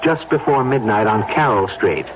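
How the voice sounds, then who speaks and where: An actor playing a smooth-talking, insistent man who plots and persuades in a radio drama. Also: fairly low pitch, lively intonation, normal speed, close to an everyday voice. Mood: coolly confident. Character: scheming, persuasive man plotting a staged fatal accident. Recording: lo-fi phone-like radio broadcast, background noise.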